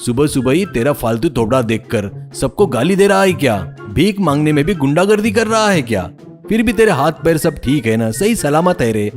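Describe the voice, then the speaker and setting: A man's voice: on the high side, big pitch swings, normal speed, faint music behind. Man voicing an exasperated, scolding cartoon village boss. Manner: hectoring, scornful rhetorical questions. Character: gruff, scolding male village president, exasperated.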